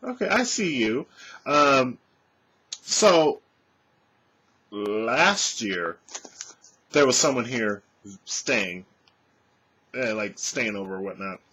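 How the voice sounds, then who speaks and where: A man in his thirties, a vlogger, telling a casual story to his webcam in a small room at home, animated and swearing freely. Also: mid-range voice, slightly rough. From California. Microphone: webcam at desk distance.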